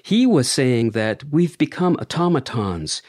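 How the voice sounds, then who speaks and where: A man's voice: mid-range voice, very animated, measured pace, darkish tone, no echo. A man co-hosting a podcast, talking through ideas in a reflective, conversational way and asking his co-host questions. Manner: thoughtful, explains ideas at length, asks questions.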